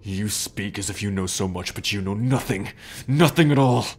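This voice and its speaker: A man's voice: fairly low pitch, normal speed, noisy background, natural voice. An actor playing an arrogant, brooding male sun god in an ASMR roleplay drama, with a scornful, formal, imperious manner. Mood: haughty anger. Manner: haughty, scornful, formal, sighing. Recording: background music under the voice.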